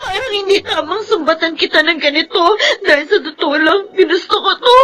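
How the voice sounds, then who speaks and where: A woman's voice: very high-pitched, brisk, pushed and bright. A woman with a Filipino accent acting an anguished, resentful young wife in a radio drama, crying as she speaks. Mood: sobbing regret.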